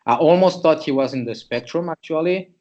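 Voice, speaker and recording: A man's voice: on the high side, a little fast, dark tone, lo-fi sound. A man from Switzerland, a chiropractor, presents a patient case to students in a loose, conversational way, thinking aloud as he goes.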